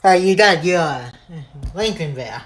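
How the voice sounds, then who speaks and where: A man's voice: a little high, lively intonation, slow pace. A young man hosting a casual live stream with a friend, answering in short replies. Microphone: voice call.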